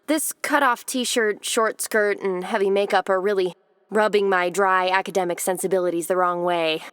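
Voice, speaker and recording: A woman playing a dry, academic therapist in a comedic audio drama, measured and probing but gently encouraging. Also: mid-range voice, steady tone, smooth, no echo, close to an everyday voice.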